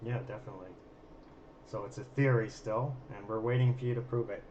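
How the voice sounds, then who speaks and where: A slightly hoarse man hosting a casual live stream, with a self-deprecating, joking manner. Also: fairly low pitch, fairly even intonation, fairly quick, a little mellow. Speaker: livestream host and telescope operator. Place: observatory during a live stream. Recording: dull sound with faint background noise.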